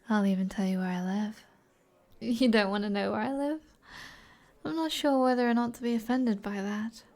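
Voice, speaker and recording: A British woman playing an alluring, flirtatious sorceress in an ASMR roleplay, in a soft breathy voice that often drops toward a whisper, with a teasing manner. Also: fairly high pitch, normal speed, long pauses, lightly acted. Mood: playful, teasing.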